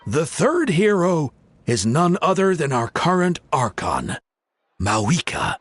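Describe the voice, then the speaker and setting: A man playing a genial, theatrical storyteller in a video game, speaking in a measured, dramatic way with deliberate pauses. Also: on the high side, very animated, a bit bright, slightly rough, faint music behind, exaggerated voice. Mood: dramatic, reverent. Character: genial male storyteller, theatrical, fond of children.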